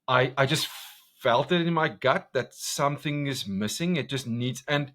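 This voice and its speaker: A man from South Africa with an Afrikaans accent, a commercial director talking passionately in a podcast interview, loud and full of restarts. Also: mid-range voice. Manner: intense, passionate, talks loud, many restarts.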